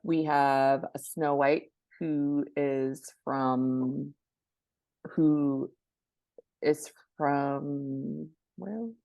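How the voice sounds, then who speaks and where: Low-voiced woman, close dry sound. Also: fairly even intonation, extremely slow, gravelly, mellow, no room sound. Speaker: guest expert, book marketing professional. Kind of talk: conversational interview answers.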